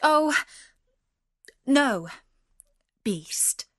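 A woman's voice: fairly high pitch, animated, slow pace, slightly rough, no echo, studio quality, exaggerated voice. A woman voicing a sweet young storybook heroine, timid yet polite, earnest in her exclamations. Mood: hasty refusal.

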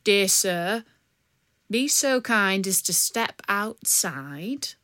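A woman voicing a gallant young male outlaw leader, speaking in a courtly, polite and unhurried way. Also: medium pitch, animated, pushed and bright, no room sound, clean studio sound, full character voice.

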